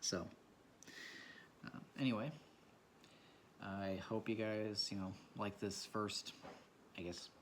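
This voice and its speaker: A man with a low voice talking quietly in a casual, rambling and reflective way while he draws for his vlog. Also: flat delivery, slow, long pauses, slight background noise. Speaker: vlogger. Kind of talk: casual talk while drawing. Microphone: camera mic from a propped camera.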